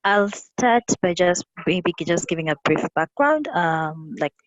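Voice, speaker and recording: A young adult woman from South Sudan with an East African accent, giving a webinar presentation in a halting way with repeated words. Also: fairly low pitch, lively intonation, no echo, muffled recording. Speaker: youth advocate presenting. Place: online call from a windy spot. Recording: windy, noisy online call with clicks. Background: wind noise.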